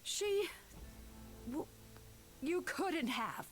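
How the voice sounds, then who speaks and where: A proud, zealous goddess from a dramatic video game. She declaims formally and slowly in a very high voice, grave and commanding, over music. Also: expressive, heavily acted. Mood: shaken denial.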